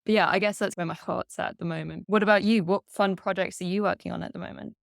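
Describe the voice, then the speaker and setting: A woman hosting a casual video chat, bubbly and quick to laugh. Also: lowish voice, fairly even intonation, gravelly, no room sound, clean studio sound. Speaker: host.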